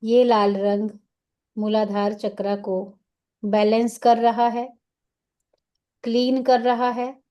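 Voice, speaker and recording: Slow, mellow woman guiding meditation. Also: a little high, level tone. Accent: Indian. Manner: soothing, unhurried, instructing in short phrases with pauses. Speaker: guided meditation teacher. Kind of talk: guided meditation.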